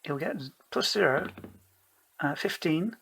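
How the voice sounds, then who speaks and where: A man's voice: fairly high pitch, lively intonation, unhurried, creaky, a bit bright, slight background noise. A man narrating his own solo tabletop role-playing game in a casual, rambling way, self-deprecating and playfully theatrical.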